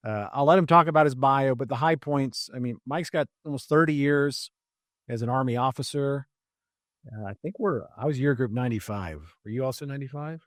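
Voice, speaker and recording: A man's voice: medium pitch, a little fast, a bit bright, dry recording, clean studio sound. A man in his fifties hosting a podcast, introducing his guest in a friendly, filler-heavy way. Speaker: podcast host. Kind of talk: casual interview introduction.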